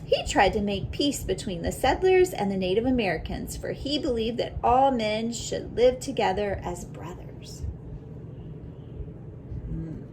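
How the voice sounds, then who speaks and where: Woman, slightly high voice, unhurried teacher read-aloud, reflective questioning asides, noticeable background noise. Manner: deliberate, questioning, pausing to reflect. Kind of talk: children's picture book read-aloud with asides.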